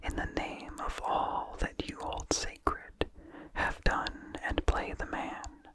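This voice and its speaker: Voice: takes it slowly, lightly acted. A reader voicing a resolute, stern male vampire hunter from a horror storybook, giving formal commands in a whisper. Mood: stern, commanding. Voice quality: unvoiced whisper.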